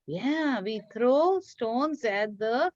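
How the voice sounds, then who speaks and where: A woman with a slightly high voice leads an online lesson for young children. She takes her time and encourages each pupil with praise. The sound is dull and lo-fi, like a video call. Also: lively intonation, extremely slow, background noise. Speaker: children's religious school teacher leading a craft class.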